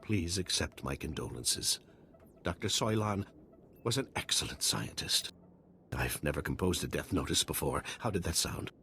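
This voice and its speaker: An actor playing a mild, socially oblivious scientist in a video game, stiff and matter-of-fact. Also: male voice, extremely low pitch, lively intonation, moderate pace, vocal fry, pushed and bright, slight background noise, heavily acted. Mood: awkwardly formal.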